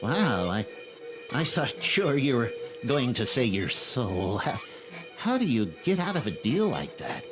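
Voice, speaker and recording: Bemused skeptical sidekick, played by a man: halting, animated intonation, dryly incredulous, audio drama with music underneath. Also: mid-range voice. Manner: stammering, hesitant, dryly incredulous.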